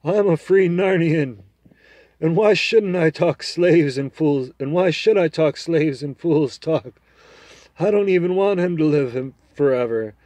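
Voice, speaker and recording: A man voicing a proud, scornful male talking horse in a storybook reading, loud and lecturing. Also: on the high side, a little slow, dry recording, voice pushed up, close to an everyday voice. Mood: confiding. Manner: haughty, lecturing, loud and emphatic.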